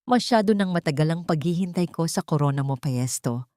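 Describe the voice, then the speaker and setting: A woman narrator plays a murderous, ruthless man with cold menace. The delivery is threatening, in a lowish voice with a dry studio sound, as in a melodramatic storybook. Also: expressive, fairly quick, warm tone, no echo. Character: menacing, ruthless male cousin, murderous villain.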